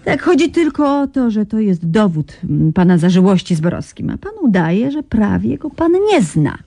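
An actress playing a persistent, self-assured investigative journalist in a radio drama, probing and ironic. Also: female voice, mid-range voice, big pitch swings, normal speed, vocal fry, dark tone, no echo. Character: persistent female investigative journalist, shrewd and cool. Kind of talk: 1990s radio drama acting.

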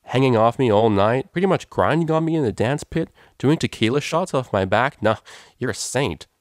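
A man's voice: mid-range voice, lively intonation, normal speed, no echo, pitched above the performer's own voice, lightly acted. Man acting out a grumpy stallion, storybook character, sarcastic, blunt, male, dry close recording. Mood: bitter. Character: disgruntled, sarcastic male stallion pony, rejected drinking buddy.

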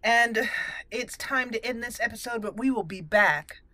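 A woman hosting a casual let's play, chatty and expressive as she talks to the game characters. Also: fairly high pitch, normal speed, dry recording. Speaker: gaming channel host. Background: game music.

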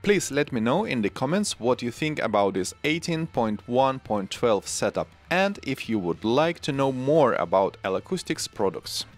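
A man in his forties presenting scripted tech narration in a measured, deliberate way, close on a studio microphone. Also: mid-range voice, sing-song, soft background music. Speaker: tech channel host. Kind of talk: scripted narration, product review. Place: small home studio room, indoors. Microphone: close broadcast microphone on arm.

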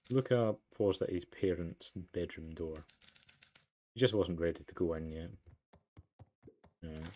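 A man's voice: sits low, a little mellow. A man doing let's play commentary and reading a game's narration aloud. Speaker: let's player. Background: game music.

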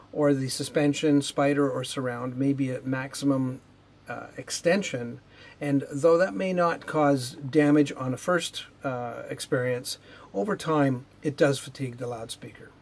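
A man in his fifties giving an unhurried technical explanation to camera in a measured, matter-of-fact way, with a slightly husky voice. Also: medium pitch. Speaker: presenter, loudspeaker R&D manager. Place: indoor video studio with whiteboard.

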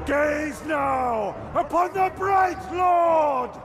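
An actor playing a furious champion in a fantasy game, declaiming defiantly in drawn-out shouts. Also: male voice, very high-pitched, full character voice. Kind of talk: fantasy video game acting. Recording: shouting under loud battle music.

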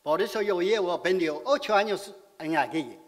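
A man in his sixties from China, speaking halting, simple Spanish with a Chinese accent in a public speech at a podium in a large auditorium. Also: high voice, expressive. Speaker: community speaker at civic event. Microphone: podium microphone. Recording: hall reverb.

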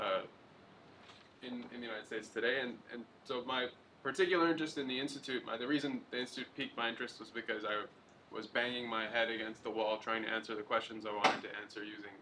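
Articulate guy from pakistan, 30s, lowish bright voice, seminar intro. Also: slight background noise, rough recording. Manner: fluent, articulate. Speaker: new postdoc participant. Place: conference room around a table.

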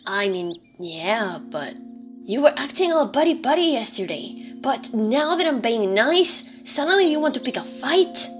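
A woman's voice: on the high side, sing-song, unhurried. A woman voicing a brash, hot-tempered teenage monster girl in a dramatic comic dub, blunt and confrontational but caring underneath. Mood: frustrated. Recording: background music under the voice. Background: music.

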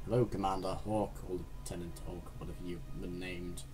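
A man's voice: low voice, fairly even intonation, brisk, darkish tone, soft background music. A British man hosting a gaming video with casual, rambling commentary, full of silly muttered asides and self-corrections.

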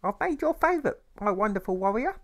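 A man's voice: high voice, very animated, quick pace, pitched above the performer's own voice. A man voicing a doting mother who sweetly dodges her son's questions. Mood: doting, evasive.

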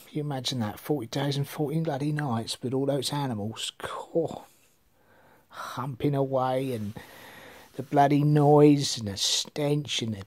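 A man's voice: medium pitch, darkish tone. Rambling, swearing middle-aged man. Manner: meandering, swears casually, trails off. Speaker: vlogger. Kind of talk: rambling casual monologue. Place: living room with bookshelves, indoors. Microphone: phone camera held at arm's length.

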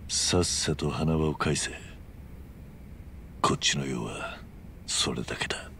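A man playing a stoic, stubborn middle-aged ex-yakuza in a video game, speaking in a terse, gruff, guarded way. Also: very deep voice, slow pace, sharp tone, slight background noise, heavily acted. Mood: curt. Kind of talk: dramatic video game cutscene acting.

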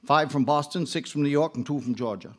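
Man acting ominous, high-pitched superstitious country caretaker. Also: fairly quick, lightly acted. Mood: ominous, relishing. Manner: ominous, theatrical, warning storyteller. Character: superstitious, fearful male rural caretaker, ominous storyteller. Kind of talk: old-time radio drama acting. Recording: live radio drama with music underneath.

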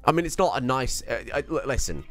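Lively British man chatting casually. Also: medium pitch, quick pace, soft background music. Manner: swears and jokes, playful teasing. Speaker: co-host. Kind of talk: casual chat over gameplay.

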